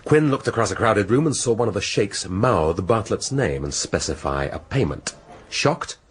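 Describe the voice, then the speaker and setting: A man acting a shrewd English police inspector in a radio drama. His voice is fairly low and swings widely in pitch. He lays out his case in deliberate, rhetorical phrases with theatrical pauses. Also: animated, natural voice. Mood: musing, explanatory.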